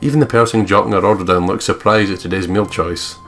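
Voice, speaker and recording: Low-voiced man reading a game aloud. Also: fairly even intonation, fast talker, fairly bright tone. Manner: jokey, sweary, theatrical outbursts. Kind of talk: let's play narration and banter, reading game text aloud. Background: game music.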